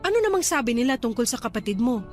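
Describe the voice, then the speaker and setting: An actress playing a supportive young Filipina girlfriend in a radio drama, speaking gently and conversationally to reassure her partner. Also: on the high side, sing-song, fast talker, faint music behind. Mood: curious.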